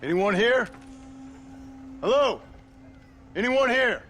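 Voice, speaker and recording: Dry American gunslinger, noisy background. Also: male voice, extremely high pitch, expressive, slow, fairly bright tone, full character voice. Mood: cautious, gentle. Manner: laconic, dry, sardonic. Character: gruff, dry-witted western gunslinger, family man. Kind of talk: video game cutscene acting.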